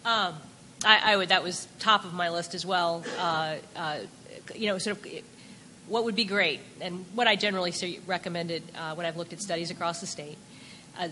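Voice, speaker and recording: A woman's voice: mid-range voice, sharp tone, slight background noise. A woman on a conference panel explaining tax policy, hesitant and full of filler sounds. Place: conference hall. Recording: echoey conference hall.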